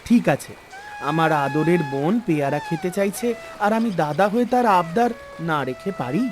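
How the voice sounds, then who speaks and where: Voice actor as animated, caring cartoon boy. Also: medium pitch, moderate pace, music in the background, close to an everyday voice. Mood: indulgent. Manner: affectionate, mildly scolding, expressive. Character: young boy, caring, indulgent older brother. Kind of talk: melodramatic cartoon acting.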